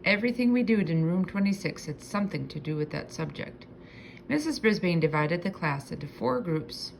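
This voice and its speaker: A woman narrates a children's storybook aloud. Her voice sits a little low and has noticeable fry, and she reads expressively, drawing out key words. There is faint noise in the recording. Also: expressive, normal speed, poor audio. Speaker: storybook reader.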